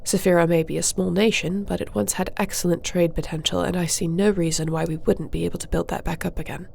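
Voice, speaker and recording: Woman acting dutiful warrior princess, lowish voice, some creak, measured diplomatic delivery, fantasy audio drama. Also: steady tone, a little mellow, a little hiss or hum. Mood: resigned, pragmatic. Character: formal, dutiful warrior princess and dragon shifter. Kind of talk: scripted audio roleplay acting.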